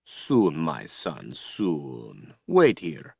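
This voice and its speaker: A man's voice: very deep voice, wildly swooping pitch, very slow, vocal fry, breathy edge, dry recording, studio quality, exaggerated voice. A man voicing a cruel male tyrant who speaks in a silky, taunting, menacing way. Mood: soft menace.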